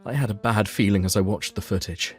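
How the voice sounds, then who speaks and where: Low-pitched man narrating a manga story. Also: fairly quick, slightly rough. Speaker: manga dub narrator and lead character. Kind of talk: scripted first-person story narration with acted reactions. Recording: music behind the voice.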